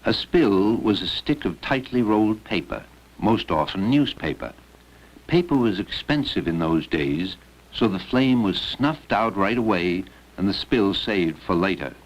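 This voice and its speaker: A man's voice: fairly low pitch, animated, a little slow. A man reading scripted narration for an educational film. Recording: dull lo-fi old educational film.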